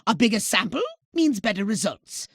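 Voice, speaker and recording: A man's voice: high-pitched, sing-song, moderate pace, gravelly, fairly bright tone, no room sound, clean studio sound, full character voice. A man playing a small, haughty, impatient alien commander in a video game, giving orders in pompous, theatrical, scolding tones with clipped formal diction. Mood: pompous instructing. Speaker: mission controller giving orders.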